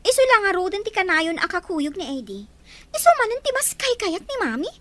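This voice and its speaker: A young Ilocano schoolgirl in a radio drama, jealous and always pleading her case. Her voice is extremely high and swings widely in melody. She is melodramatic and whining, with a scheming streak and an Ilocano accent. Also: sing-song, a little fast, bright, forceful tone, heavily acted.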